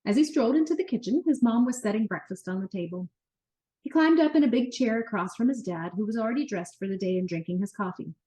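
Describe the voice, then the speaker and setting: A woman narrating a children's book as an expressive, animated read-aloud storyteller. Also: a little high, quick pace, low-quality recording.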